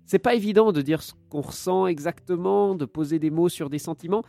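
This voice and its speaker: A man's voice: a little high, fairly quick, vocal fry, mellow, no echo, soft background music. A man hosting a casual radio-style chat, joking and chatty, raised with Italian alongside French. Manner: joking, chatty, drifts into asides. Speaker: podcast co-host.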